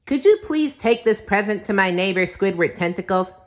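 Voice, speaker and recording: Childlike cartoon sponge: very high, bouncy pitch, eager, sweet, earnest storybook character. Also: male voice, expressive, moderate pace. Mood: earnest, caring.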